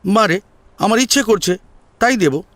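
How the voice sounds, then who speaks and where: A man's voice: high voice, lively intonation, moderate pace, a bit bright, dry recording, voice pushed up. A man playing an eager, teasing, hot-headed young grandson in an audio story, insistent and emotional. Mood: playful, coaxing. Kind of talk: Bengali audio story acting.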